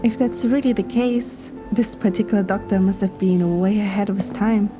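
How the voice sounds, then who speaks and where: A woman voicing a curious, polite, slightly flustered young woman in a romance story, expressive and a little hesitant. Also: mid-range voice, moderate pace, natural voice. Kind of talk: scripted romance story dialogue. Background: clear background music.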